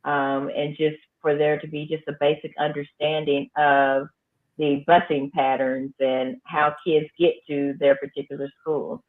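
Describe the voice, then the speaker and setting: A woman's voice: sits low, flat delivery, a little slow. Firm-spoken principal woman, tinny sound. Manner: firm, emphatic, plain-spoken. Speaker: high school principal. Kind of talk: interview conversation.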